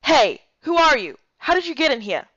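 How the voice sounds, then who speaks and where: Casting: woman as imperious game fox girl, accusatory, raised high voice, very dry recording. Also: sing-song, normal speed, fairly bright tone, no echo, muffled recording, full character voice. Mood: angry, demanding. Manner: commanding, accusatory. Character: bossy, suspicious fox girl interrogating an intruder. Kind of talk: amateur game voice acting.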